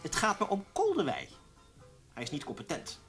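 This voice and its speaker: An actor playing a vain, ambitious young journalist, insistent and sure of himself, in a radio drama. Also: male voice, on the high side, wildly swooping pitch, moderate pace, fairly bright tone, faint music behind, exaggerated voice. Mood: insistent, irritated. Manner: insistent, self-assured.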